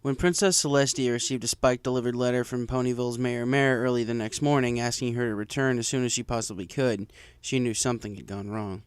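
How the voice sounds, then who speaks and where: A man's voice: medium pitch, steady tone, fast, hardly any pauses, smooth, no echo. A man reading a story aloud as scripted narration. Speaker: story reader. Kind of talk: scripted narration of fan fiction.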